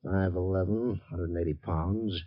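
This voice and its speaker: An actor playing a gruff, impatient police sergeant in a 1950s radio drama, brusque and demanding. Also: male voice, low voice, steady tone, normal speed. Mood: gruff, businesslike. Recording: dull old radio recording.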